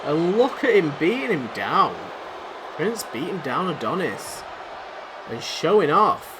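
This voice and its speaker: A man rattles through excitable play-by-play of a wrestling video game, rambling and poking fun at himself. His voice sits a little high and is going hoarse from overuse. The recording carries a clear layer of background noise. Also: measured pace. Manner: excitable, rambling, self-deprecating. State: losing his voice. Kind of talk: play-by-play commentary. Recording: noisy background.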